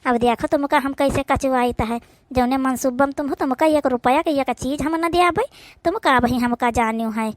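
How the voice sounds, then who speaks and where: A woman's voice: sits high, normal speed, dry recording, close to an everyday voice. A woman with an Awadhi accent voicing a wary, indignant young village housewife in a cartoon, complaining in a plain-spoken way. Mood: resentful.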